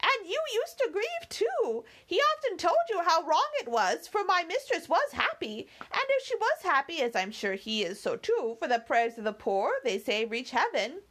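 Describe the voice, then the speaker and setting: A woman's voice: very high-pitched, big pitch swings, normal speed, few pauses, a bit bright, voice pushed up, full character voice. A woman voicing a fussy, talkative old female housekeeper who chatters on in a doting, well-meaning way.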